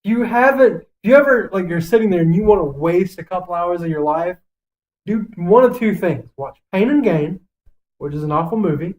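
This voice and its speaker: Blunt, high-pitched man chatting on a podcast. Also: moderate pace, darkish tone, poor audio. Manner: blunt, foul-mouthed. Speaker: podcast co-host. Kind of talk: casual podcast chat, ranking list.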